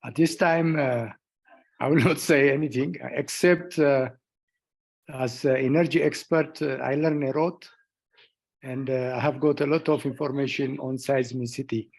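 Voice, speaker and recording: A modest man offers halting thanks on a video call. He speaks at a middle pitch, and his voice is gravelly. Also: fairly even intonation. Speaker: energy expert, forum participant.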